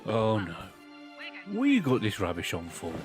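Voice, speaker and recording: A man's voice: on the low side, wildly swooping pitch, moderate pace, faint music behind. An actor playing a stubborn, grumpy old grandfather with a blunt, colloquial way of talking, in an audio drama. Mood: grumbling. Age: older.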